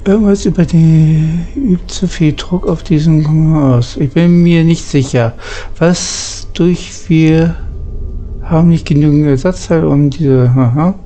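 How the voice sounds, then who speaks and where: A woman's voice: low voice, a little slow, dark tone. A woman casually commenting on a game and reading dialogue aloud as she plays. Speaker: game streamer. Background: game music.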